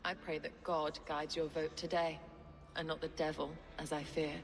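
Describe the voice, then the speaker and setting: A woman playing a composed, pious abbess in a video game, speaking in a measured, formal manner. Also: low-pitched, flat delivery, background noise. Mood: pious, wary. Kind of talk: video game cutscene acting.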